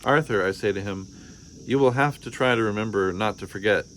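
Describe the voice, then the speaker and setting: A man's voice: fairly low pitch, a little fast, a bit bright, a little hiss or hum. A man reading a children's storybook aloud expressively, acting out the dialogue. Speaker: storybook reader.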